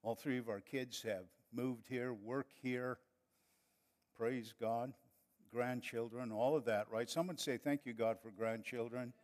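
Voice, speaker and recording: A man's voice: medium pitch, fairly even intonation, unhurried, darkish tone. An older man from northern Wisconsin with an Upper Midwest accent, preaching a folksy, chatty sermon that builds to fervent passages. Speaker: pastor.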